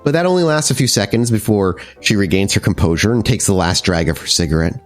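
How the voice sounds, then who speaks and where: A male game master improvises narration in a conversational, descriptive way. His voice is fairly low with lively swings in pitch. Soft music sits behind him. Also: quick pace.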